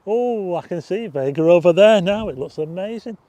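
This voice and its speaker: A Northern English man hosting a live stargazing stream outdoors at night, chatting casually, reading out viewer names for a quiz, his voice a little croaky. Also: sits high, lively intonation, moderate pace, warm tone, dry recording. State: slightly croaky. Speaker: astronomy livestream host.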